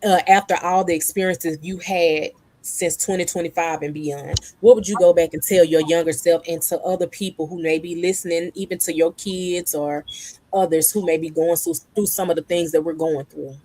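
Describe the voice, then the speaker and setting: A woman hosting a casual panel conversation who tells stories with lively energy and acts out other people's voices. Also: lowish voice, normal speed, no echo. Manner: animated storyteller who acts out quoted voices. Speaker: panel host.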